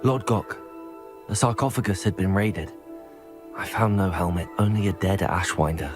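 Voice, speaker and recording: Guy playing a young wizard hero in a game, lowish voice, polite n composed, music under it. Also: moderate pace, lightly acted. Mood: composed, resolute. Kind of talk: video game cutscene acting.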